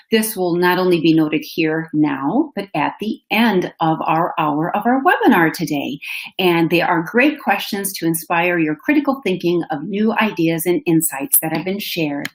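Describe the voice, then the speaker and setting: A woman with a Minnesota accent hosting an online education webinar, upbeat and effusive, speaking over a video call. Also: on the low side. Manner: upbeat, effusive, addresses audience directly. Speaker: webinar host. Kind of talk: enthusiastic webinar presenting. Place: indoor room, webcam.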